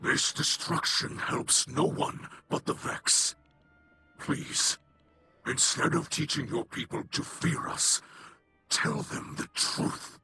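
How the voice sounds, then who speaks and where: An actor playing a solemn, weary alien leader in a video game, speaking gravely in a breathy, guttural rasp. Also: male voice, a little high, huge pitch swings, music in the background, full character voice. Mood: aggrieved, pleading. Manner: slow, grave, measured. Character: solemn, weary male alien leader pleading for his people. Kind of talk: dramatic game character dialogue.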